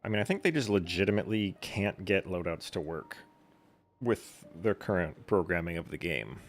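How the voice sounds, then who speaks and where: A man's voice: sits low, lively intonation, moderate pace. A man casually commentating on a live game stream in a dry, blunt way.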